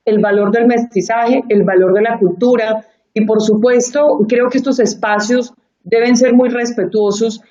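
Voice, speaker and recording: A woman's voice: fairly high pitch, dark tone. A Colombian woman speaking Spanish with a Colombian accent, a guest in an interview who talks in an earnest, reflective way and repeats phrases for emphasis. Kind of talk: conversational interview. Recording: muffled lo-fi audio.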